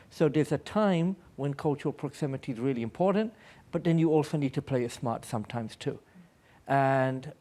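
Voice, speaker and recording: A man's voice: mid-range voice, mellow. A Pakistani-born American man speaking as an aid worker at a public panel, telling personal anecdotes in an earnest, emphatic way.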